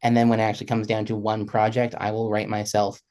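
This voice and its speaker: A man's voice: lowish voice, completely flat delivery, quick pace, a little mellow. A man in his twenties speaking as a panelist in a reflective conversation, earnest and often correcting himself as he goes. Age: 20s. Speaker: panelist, freelance musician.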